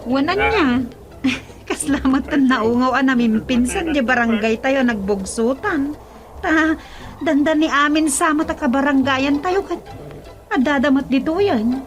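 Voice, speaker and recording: A woman playing an expressive village woman in an Ilocano radio comedy drama, with an Ilocano accent. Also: sits high, measured pace, soft background music. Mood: chatty.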